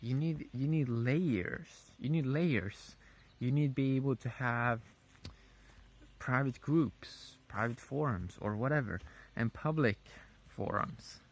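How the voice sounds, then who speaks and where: A man giving a casual, unscripted opinion monologue in a rambling, halting way, often repeating his phrases. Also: mid-range voice. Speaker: vlogger.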